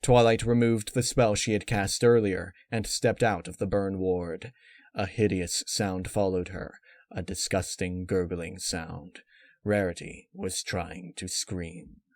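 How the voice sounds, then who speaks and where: A man narrating scripted dark fan fiction in a measured, dramatic storytelling manner. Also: sits low, steady tone, dry recording, studio quality.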